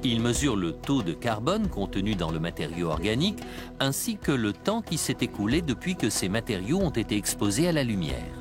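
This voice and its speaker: A man narrating a documentary in a measured, explanatory scripted voice-over, recorded on a studio mic. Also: lowish voice, very animated. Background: background music.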